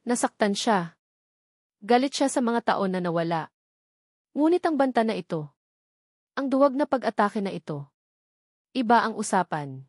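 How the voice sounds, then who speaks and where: A woman reading a scripted romance drama story in a solemn, measured, suspenseful way. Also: mid-range voice, very animated, a little mellow, dry recording, studio quality.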